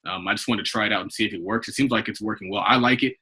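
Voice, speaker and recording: An American man with a husky voice leading an informal investment club call, talking in a rambling, conversational way. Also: lowish voice, racing, sharp tone. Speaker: investment club leader hosting a conference call. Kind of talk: informal group call talk, rambling updates. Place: online group video call.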